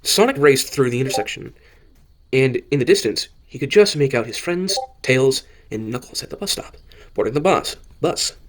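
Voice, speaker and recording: A man reading a scripted story as narrator, haltingly, often stumbling and rereading phrases. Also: medium pitch, expressive. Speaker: narrator of an amateur audio drama.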